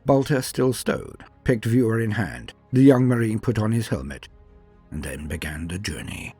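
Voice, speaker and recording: A man narrating scripted stories in a dramatic, solemn and measured way, with emphatic swells and falling sentence endings. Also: on the low side, animated, a little mellow, husky, no echo. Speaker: narrator and host of a fan fiction lore channel. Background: music.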